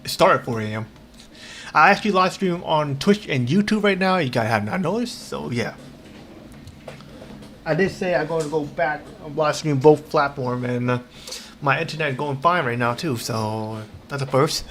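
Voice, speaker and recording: A man chatting casually on a livestream while he plays a game, rambling in a halting, repetitive way. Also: fairly high pitch, lively intonation, fairly bright tone, a little hiss or hum. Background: game music.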